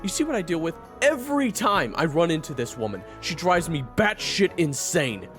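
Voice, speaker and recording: A man's voice: on the low side, sing-song, moderate pace, vocal fry, soft background music. A man playing a stern, irritable monster hunter with a grim temper in an audio drama. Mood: exasperated. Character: brooding, vengeful monster hunter, easily exasperated.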